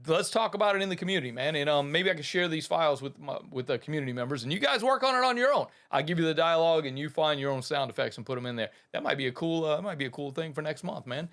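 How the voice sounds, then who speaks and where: A man's voice: a little high, fairly bright tone. A man, talking casually to viewers as host of an audio production channel and then reading out a short story. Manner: rambling and chatty, many fillers and restarts, keeps saying "man".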